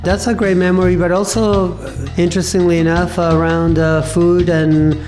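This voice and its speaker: A man in his fifties from Argentina, telling his life story in an interview with a thoughtful, halting manner and many pauses. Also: sits high, little pitch movement, darkish tone, faint music behind. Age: 50s. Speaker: interview guest, cafe owner. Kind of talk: reflective personal storytelling.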